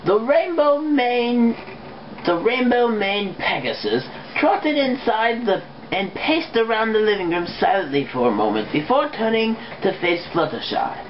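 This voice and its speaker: A woman's voice: a little high, big pitch swings, a little slow. A woman reading fan fiction aloud as an amateur narrator. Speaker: reader. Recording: muffled, noisy home recording.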